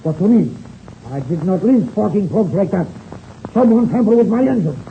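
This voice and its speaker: Proud, suspicious Russian aviator, high creaky voice, emphatic broken English, vintage radio drama. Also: male voice, animated, normal speed. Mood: defensive, indignant. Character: defensive foreign air-race pilot, proud and suspicious. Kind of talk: 1930s radio serial acting. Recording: muffled, noisy old-time radio recording.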